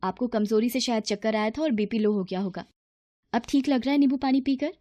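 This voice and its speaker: A woman voicing a gentle young daughter-in-law in a moral story, polite and earnest in manner. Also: fairly high pitch, fairly quick, dry recording, studio quality, natural voice. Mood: concerned, caring.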